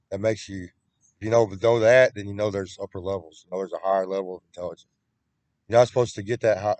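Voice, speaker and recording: A man's voice: on the low side, a little mellow, no echo. A man talking in a rambling, repetitive solo monologue, with frequent restarts, recorded on a computer webcam.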